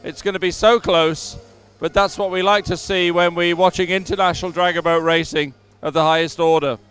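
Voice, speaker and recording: A male sports presenter talks off the cuff, live from a waterside venue. His voice is high for a man and exceptionally clean and smooth, with music playing faintly at the venue behind him. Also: steady tone, moderate pace, fairly bright tone. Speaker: sports event presenter and commentator. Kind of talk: unscripted live event commentary. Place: outdoors, waterside at a racing venue.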